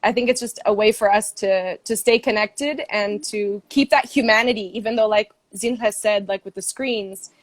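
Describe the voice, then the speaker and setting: A woman with an American accent talks earnestly over a video call, pausing with ums and small laughs. Her pitch sits in a middle range, and the sound is dry and close, with no room around it. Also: no room sound. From Brazil and the US. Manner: warm, earnest, frequent ums and soft laughs. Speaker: guest supporter. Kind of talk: casual video call conversation. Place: home, stayed in the US.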